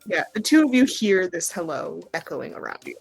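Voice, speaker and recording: A woman's voice: on the low side, sing-song, fairly quick, breathy edge, soft background music, rough recording. A woman narrating a tabletop game as game master, improvising in informal, hesitant phrasing.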